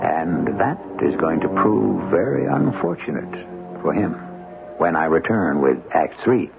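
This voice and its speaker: A man hosting a radio drama, narrating in an ominous, deliberate, theatrical 1970s style. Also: low-pitched, animated, gravelly. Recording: muffled old radio broadcast. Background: music.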